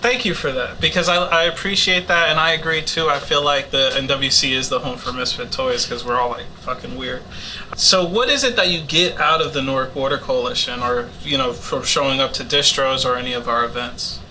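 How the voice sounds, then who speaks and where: A man's voice: mid-range voice, brisk, noisy background. A man hosting an informal panel interview, speaking in an encouraging, casual way. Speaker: host interviewing young community organizers.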